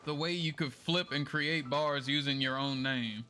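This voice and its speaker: A man's voice: on the high side, steady tone, measured pace, sharp tone, a little hiss or hum. A man talking casually and rambling a little as he livestreams a game. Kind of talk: casual gaming stream chat.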